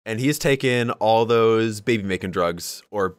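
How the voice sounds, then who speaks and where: Guy with a lowish voice cohosting a podcast, jokey and sweary, super dry audio. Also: measured pace, clean tone, dry recording. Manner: joking, sweary, informal. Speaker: podcast co-host. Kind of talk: casual banter about tabletop wargaming.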